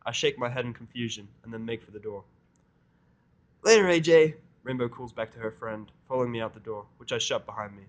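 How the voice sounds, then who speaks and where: A man's voice: on the low side, level tone, fast talker, few pauses. A man reading first-person fan fiction as narrator, in a sardonic, grumbling way.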